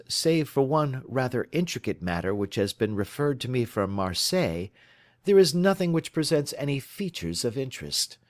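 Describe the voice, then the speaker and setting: A man voicing a keen, lofty male detective in an audiobook, crisp and lecturing in manner. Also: medium pitch, no room sound, clean studio sound, voice pushed up. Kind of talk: audiobook character reading.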